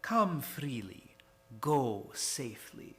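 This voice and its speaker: A man's voice: medium pitch, sing-song, creaky, heavily acted. A man acting a courtly, menacing vampire count in an old-time radio horror play, speaking formally and with suave menace. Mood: suavely ominous. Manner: slow, formal, suavely sinister.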